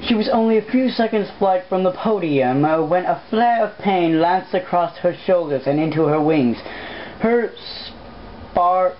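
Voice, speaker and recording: A woman's voice: on the low side, a little slow. A woman doing a casual amateur read-aloud of fan fiction, informal and self-deprecating, stumbling over words and breaking off for asides. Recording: dull lo-fi mic with clear background noise.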